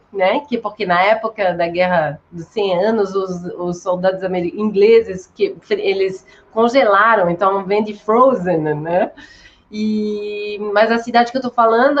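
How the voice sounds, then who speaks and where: A woman from France with a French accent in Portuguese, telling stories informally as a guest in a relaxed online chat. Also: medium pitch. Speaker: interview guest. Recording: noisy, dull online call audio.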